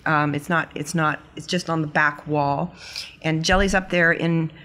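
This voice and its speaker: A woman playing a tabletop role-playing game, improvising casual descriptions in halting phrases. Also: low-pitched, steady tone, fairly bright tone.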